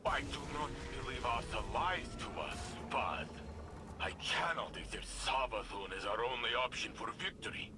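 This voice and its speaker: A man's voice: medium pitch, moderate pace, close to an everyday voice. A man voicing a formal, solemn warrior. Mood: troubled. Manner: formal, avoids contractions. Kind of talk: video game cutscene. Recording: noisy with vehicle sounds.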